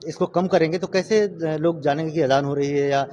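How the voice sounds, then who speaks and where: A man's voice: a little high, a little fast, a little mellow, slight background noise. A man working as a field reporter, putting questions hesitantly and rephrasing as he goes. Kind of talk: street interview questioning.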